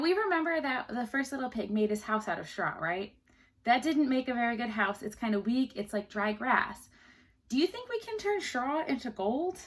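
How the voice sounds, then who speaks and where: A woman teacher reading a storybook aloud to children, pausing to explain things simply and ask questions. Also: on the high side.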